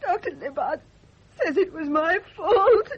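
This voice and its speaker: An actress in a radio drama playing a timid, distraught nurse, faltering and close to tears. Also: female voice, very high voice, animated, measured pace. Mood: tearful, distraught. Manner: faltering, tearful. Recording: muffled, noisy old radio recording.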